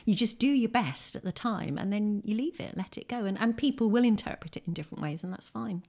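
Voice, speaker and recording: A woman with an English accent talking as a guest in a relaxed interview, wry and animated, often breaking off and restarting her sentences. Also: mid-range voice, brisk. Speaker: interview guest, poet and fiction writer. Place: indoors, at home.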